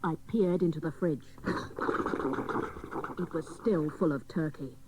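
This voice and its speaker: A woman playing a dotty private detective, narrating in deadpan, mock hard-boiled style for comic radio drama. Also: deep voice, a little slow, heavy background noise.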